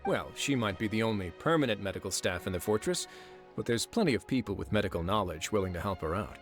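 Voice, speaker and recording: A man's voice: lowish voice, expressive, fast talker, faint music behind, close to an everyday voice. A man playing a wry, confident prison warden in a video game, with a dry, casual, teasing manner. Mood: teasing, amused. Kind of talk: video game dialogue acting.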